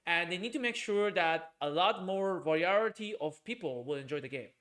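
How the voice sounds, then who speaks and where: A man hosting a casual group podcast over a voice call, excitable and quick to cut in, often repeating himself. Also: sits high. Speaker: podcast host.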